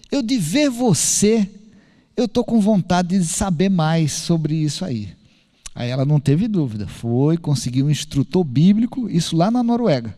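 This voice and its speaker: A man preaching a sermon, telling a story in an animated way and acting out the dialogue. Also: sits high. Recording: long hall reverb.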